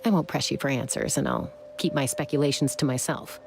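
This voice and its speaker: A woman's voice: very deep voice, brisk, gravelly. A woman playing a cool, composed young warrior in a video game, speaking in a laid-back, assured way. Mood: reassuring. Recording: music behind the voice.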